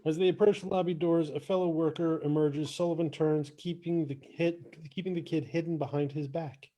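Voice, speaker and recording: A man's voice: on the high side, fairly even intonation, normal speed, warm tone, poor audio. A man reading stage directions as the narrator of a scripted podcast.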